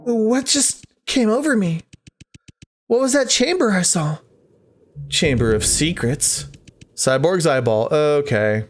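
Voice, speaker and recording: A man with a fairly high, very animated voice gives casual let's-play commentary. He interjects reactions and talks directly to his viewers. Music plays behind him. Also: takes it slowly, long pauses. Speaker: gaming YouTuber host. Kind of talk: casual let's-play commentary, reading game text aloud.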